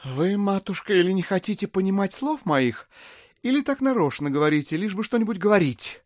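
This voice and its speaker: An actor playing a scheming, smooth-talking gentleman swindler in a classic audio drama, insistent, wheedling and easily exasperated. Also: high-pitched, lively intonation, brisk, no room sound, clean studio sound. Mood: impatient, reasoning. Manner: insistent, wheedling, theatrically emphatic.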